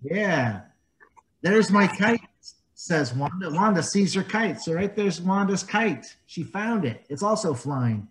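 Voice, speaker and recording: A man reading a picture book aloud to young children, gently explaining it in simple words and asking them questions. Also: high voice, expressive, takes it slowly, rough recording. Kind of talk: storybook read-aloud with explanations.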